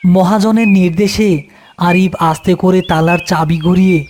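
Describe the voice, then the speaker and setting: A man's voice: high voice, little pitch movement, a little slow, darkish tone, slight background noise. A man giving scripted narration as the storyteller of a horror tale.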